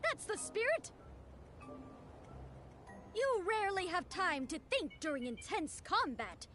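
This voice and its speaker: Very high-pitched, pompous game oracle. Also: female voice, sing-song, slow pace, fairly bright tone, soft background music, heavily acted. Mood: grand, commanding. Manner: pompous, proclaiming. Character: grandiose theatrical female examiner of a knightly order, solemn and eager. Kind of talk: video game voice acting.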